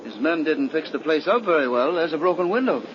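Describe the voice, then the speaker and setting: A man's voice: on the high side, animated, a little fast, natural voice. A man playing a nervous, impressionable young man in a 1930s radio horror drama, with an anxious, theatrical delivery. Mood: hesitant, wary. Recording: muffled, noisy 1930s radio recording.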